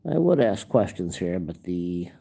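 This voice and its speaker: A man's voice: sits low, big pitch swings, measured pace, creaky, warm tone, slightly rough. Grumbling, stuffed-up man. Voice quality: nasal. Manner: rambling and grumbling. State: stuffed-up nose. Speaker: livestream host. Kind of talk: casual livestream rant.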